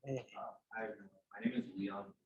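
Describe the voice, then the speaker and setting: A man's voice: sits high, very animated, fairly quick, creaky, a little mellow. A young male student introducing himself plainly and a little hesitantly. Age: young adult. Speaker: student participant. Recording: distant, dull, roomy mic.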